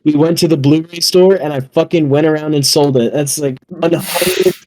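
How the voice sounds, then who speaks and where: A man's voice: on the high side, steady tone, normal speed, darkish tone, poor audio. A man telling anecdotes in a casual podcast chat, slangy and conversational with some swearing. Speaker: podcast guest.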